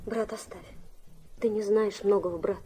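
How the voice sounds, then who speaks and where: An actress playing an earnest, reproachful young sister in a theatrical audio drama. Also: female voice, mid-range voice, steady tone, moderate pace, mellow, close to an everyday voice. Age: young adult. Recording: faint noise and ambience behind.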